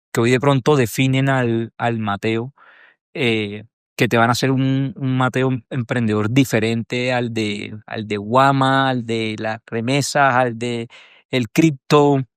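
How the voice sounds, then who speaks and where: Colombian podcast host, dry sound. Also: male voice, mid-range voice, clean tone, dry recording. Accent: Colombian Spanish. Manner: joking, prompting, halting questions. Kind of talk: conversational interview.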